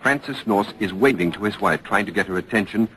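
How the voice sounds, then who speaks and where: Actor as a deep-voiced, serious radio reporter. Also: male voice, fairly bright tone, lightly acted. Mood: watchful. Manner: measured, formal, descriptive. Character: serious on-the-scene radio news reporter at trial. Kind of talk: live news commentary in old-time radio drama. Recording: noisy vintage radio broadcast.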